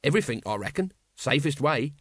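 A man's voice: medium pitch, expressive, a little fast, no echo, studio quality, close to an everyday voice. A man playing a cocky, streetwise male watchman with an offhand, slangy manner in an audiobook. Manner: offhand, colloquial. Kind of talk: audiobook character acting.

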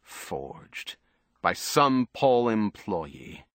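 A man voicing a world-weary, cautious man who is blunt yet protective, in measured, quietly firm audiobook dialogue. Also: fairly low pitch, lively intonation, no room sound, clean studio sound. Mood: cold, cruel.

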